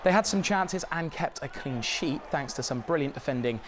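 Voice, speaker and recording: A man reviewing football results in a brisk, analytical studio round-up. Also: fairly high pitch, lively intonation, a little hiss or hum. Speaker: sports reporter. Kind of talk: sports round-up.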